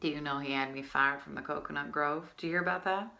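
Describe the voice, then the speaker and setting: An actress as a bright-voiced, paranoid aspiring singer. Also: female voice, sits low, steady tone, fast talker, vocal fry, full character voice. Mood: agitated, self-assured. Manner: intense, boastful, insistent rambling. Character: scatterbrained, paranoid, self-deluded aspiring nightclub singer. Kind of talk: theatrical comic stage monologue.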